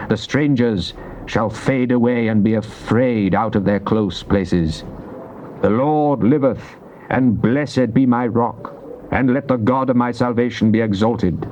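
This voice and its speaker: An actor playing a devout psalmist king, reciting scripture in a solemn, declamatory, deliberate way. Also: medium pitch, darkish tone, lightly acted. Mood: triumphant, stern, then exultant. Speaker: actor reading Psalms in a dramatized Bible recording. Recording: muffled old cassette tape with faint noise.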